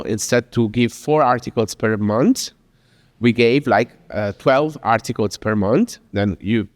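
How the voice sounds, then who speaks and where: An Italian man with a middle-pitched voice speaks to an audience in a casual, rambling way, restarting his sentences often. The sound is dry up close, with a long reverb tail from a hall. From Italy. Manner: chatty, with frequent fillers and restarts. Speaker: speaker at a business talk. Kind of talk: informal presentation to an audience. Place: venue in Lisbon.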